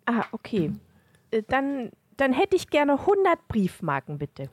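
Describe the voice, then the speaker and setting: A woman playing a naive, timid post office customer who speaks awkwardly and haltingly in an amateur audio drama. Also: high voice, huge pitch swings, no room sound, heavily acted.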